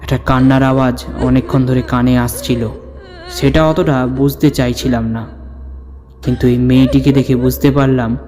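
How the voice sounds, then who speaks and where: A man playing an older storyteller who recounts his own ghostly encounter in a suspenseful, measured narration. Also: medium pitch, natural voice. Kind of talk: dramatic scripted horror story narration. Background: background music.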